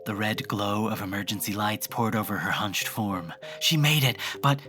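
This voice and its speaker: A narrator of uncertain sex telling a horror audio drama in scripted, deliberate storytelling phrases. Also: sits low, a bit bright, faint music behind.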